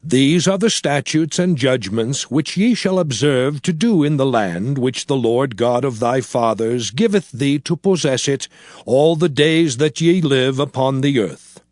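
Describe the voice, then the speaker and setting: An actor playing a solemn prophet exhorting his people in a dramatized scripture reading, deliberate and declamatory. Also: mid-range voice, lively intonation, darkish tone, no room sound, close to an everyday voice. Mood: solemn exhortation. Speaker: actor in dramatized audio Bible. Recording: studio voice over background music. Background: music.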